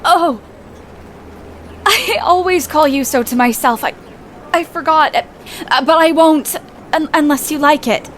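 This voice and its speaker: A woman's voice: high voice, unhurried, pauses often, a bit bright, slight background noise. A woman playing an earnest, proud, emotional young woman in love in a period audio play, speaking politely and a little hesitantly. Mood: tearful, tender.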